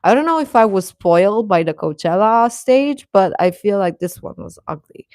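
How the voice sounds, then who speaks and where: A woman hosting a casual livestream, chatting and commenting in a hesitant, halting way. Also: mid-range voice, animated, a little mellow, no room sound, studio quality. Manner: hesitant, halting, chuckles often while talking. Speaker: livestream host reading viewer comments.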